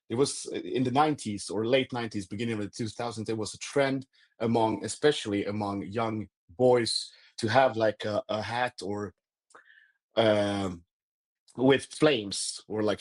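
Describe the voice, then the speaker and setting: A man with a Swedish accent, a native Swedish speaker, chatting as a podcast guest and pausing often to search for words. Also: on the low side. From Sweden. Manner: halting, repeats words while searching for English phrasing. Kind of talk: casual conversation.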